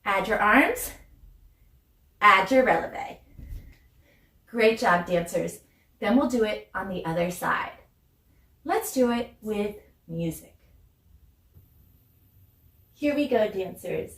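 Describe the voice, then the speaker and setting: A woman's voice: mid-range voice, expressive, slow, long pauses, fairly bright tone. A woman giving ballet class instruction as a dance teacher, demonstrating and counting in an encouraging, instructive way. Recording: roomy echo, distant mic, music behind.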